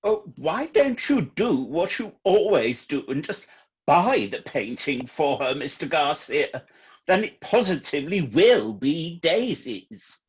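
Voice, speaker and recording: Fussy, formal priest played by a man: breathy husky voice, unhurried, comic radio play, muffled sound. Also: on the high side, big pitch swings, full character voice. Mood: courteous. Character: courteous, fussy, disgraced priest trying to stay inconspicuous. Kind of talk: comedy radio play acting. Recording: dull, muffled home recording.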